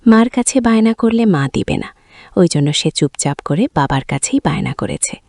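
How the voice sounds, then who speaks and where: A woman's voice: medium pitch, lively intonation, normal speed, a little mellow, no room sound, studio quality. A woman tells a family story in an informal, colloquial way, as a storyteller who voices the dialogue herself.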